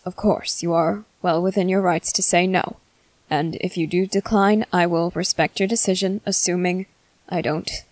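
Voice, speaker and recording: A woman playing a courteous, restrained male vampire commander in an ASMR roleplay, speaking in formal, halting, hungry phrases. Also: on the low side, no echo. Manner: formal, halting, measured, apologetic. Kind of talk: scripted ASMR roleplay monologue.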